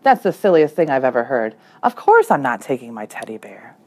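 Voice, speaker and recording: Storybook role: a woman plays a worried young boy, earnest and questioning, with big swings in pitch. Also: sing-song, brisk, full character voice. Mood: indignant bravado. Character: anxious young boy narrator wanting his teddy bear. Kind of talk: children's picture book read aloud.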